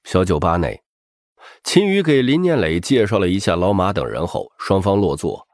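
A man's voice: low-pitched, big pitch swings, moderate pace, darkish tone, dry recording, clean studio sound. A man narrating a story as an audiobook reader in a dramatic scripted style.

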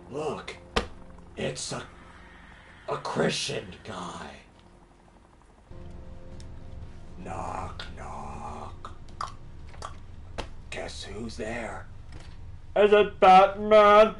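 A man voicing a boastful, crude, dim-witted parody Batman in comic game commentary, with a forced gravelly voice that breaks into shrill yells. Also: full character voice. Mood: swaggering. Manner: bragging, sweary, childish, theatrical. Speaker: gaming video performer in character. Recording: roomy echo with light background noise.

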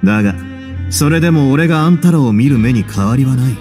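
An actor playing a composed, wry prison duke in a Japanese game, measured and courteous. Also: male voice, medium pitch, lively intonation, music in the background, close to an everyday voice. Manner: measured, wry, self-assured.

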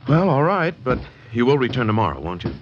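An actor playing an anxious, eager, boyish painter in a 1940s radio mystery, earnest and questioning. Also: male voice, mid-range voice, big pitch swings, quick pace, a little hiss or hum, close to an everyday voice. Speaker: supporting actor. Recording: muffled 1940s radio recording.

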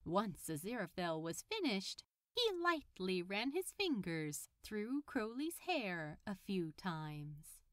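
Casting: female audiobook narrator, unhurried story reading with lively pitch movement. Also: no room sound, studio quality. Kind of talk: scripted fiction narration.

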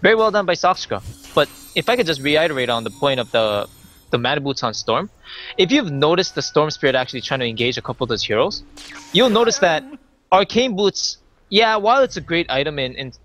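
A young man from Canada casting an esports match in a chatty, analytical way, laughing between points. His voice sits a little high and rings bright and sharp. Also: very animated, fairly quick. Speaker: esports co-caster. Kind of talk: esports commentary.

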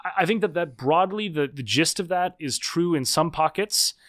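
A man in his thirties, a guest author explaining ideas in a podcast interview, animated and full of quick restarts. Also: a little high.

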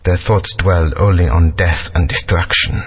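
An actor playing a stern, grave elder of an ancient alien race in a video game, speaking in a measured, authoritative way. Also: male voice, low-pitched. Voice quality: husky. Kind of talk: game voice acting. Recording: dull lo-fi game audio.

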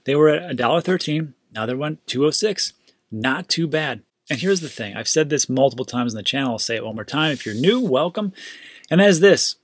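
A man's voice: fairly high pitch, expressive, fairly quick, dry recording. Upbeat chatty man hosting a crypto show. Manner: upbeat, chatty, repeats himself. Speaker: crypto news channel host. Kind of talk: casual market commentary.

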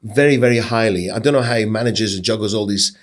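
A man's voice: fairly low pitch, quick pace. A man in his fifties hosting a podcast, chatting casually and teasing his guest with jokes. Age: 50s. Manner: jokey, teasing, warm banter. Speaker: podcast host. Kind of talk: casual interview chat.

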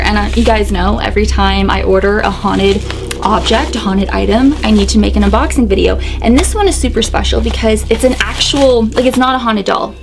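A young woman in her twenties vlogging a chatty unboxing in a small bedroom, excitable and a bit hoarse as her voice fades. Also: fairly high pitch. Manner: excitable, chatty, gasps and laughs a lot. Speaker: YouTuber. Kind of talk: casual unboxing vlog. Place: small bedroom decorated with dolls.

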